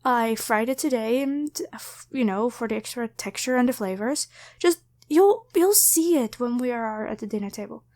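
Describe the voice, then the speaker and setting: A very high-pitched young woman, played by a female voice actor, speaks straight to the listener in an ASMR roleplay. She is grateful and easily flustered. Her talk is hesitant and rambling, full of stammers and apologies. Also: animated, normal speed, a little mellow. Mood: teasing, hesitant.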